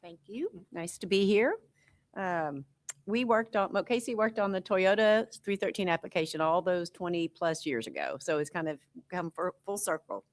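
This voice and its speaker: A woman's voice: lowish voice, moderate pace. A woman consultant presenting a tax analysis at a school board meeting, explaining in a conversational way with frequent fillers.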